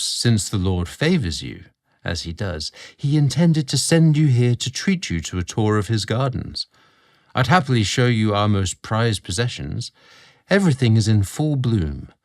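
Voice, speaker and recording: A man's voice: on the low side, very animated, moderate pace. A man voicing a proud, courteous young male gardener in a storybook romance, formal and eager to please. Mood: proud, inviting. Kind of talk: storybook character dialogue.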